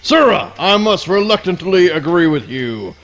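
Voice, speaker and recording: Guy doing a pompous hound dog detective, highish swoopy voice, grand radio comedy talk, bit muffled. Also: big pitch swings, moderate pace, a bit bright, faint music behind, exaggerated voice. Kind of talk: live comic radio play reading.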